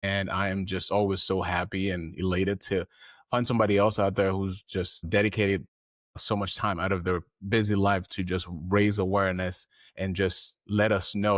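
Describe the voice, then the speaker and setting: A man's voice: deep voice, no echo, studio quality. A man hosting a heartfelt interview podcast, talking earnestly and rambling with fillers. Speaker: podcast host.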